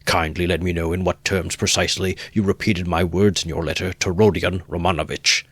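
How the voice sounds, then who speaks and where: A man's voice: sits low, fairly even intonation, brisk, husky, no echo. A man voicing a pompous, easily offended middle-aged suitor who speaks in a stiff, sententious way. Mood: huffy, pompous. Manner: sententious, aggrieved and stiffly formal. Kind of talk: audiobook character voice.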